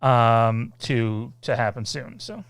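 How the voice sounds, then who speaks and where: A man hosting a casual livestream Q&A, rambling loosely, reading out viewer comments and filling pauses with lots of "uh" and "um.". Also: mid-range voice, fairly even intonation, no room sound. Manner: rambling, reads out viewer comments, many filler sounds. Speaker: podcast host.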